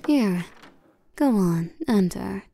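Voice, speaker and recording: A woman playing a seductive, commanding vampire queen in an intimate roleplay audio, soft and breathy, teasing and condescending, often sinking toward a whisper. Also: mid-range voice, animated, a little hiss or hum. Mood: amused, commanding. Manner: slow, teasing, domineering, with terms of endearment.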